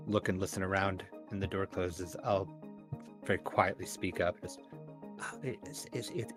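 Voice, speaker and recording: Roleplay player, very low pitch, hesitant, repetitive improvised delivery, music bed. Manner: hesitant, stammering, repeats words. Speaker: tabletop game player. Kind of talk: in-character improvised talk. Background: background music.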